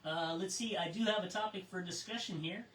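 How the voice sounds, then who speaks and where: An American man acting as ham radio net control, reading procedures in a measured way with casual asides. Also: high-pitched, fairly bright tone. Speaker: amateur radio net control operator and host. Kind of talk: reading a net script and chatting with check-ins. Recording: roomy, distant mic with faint noise.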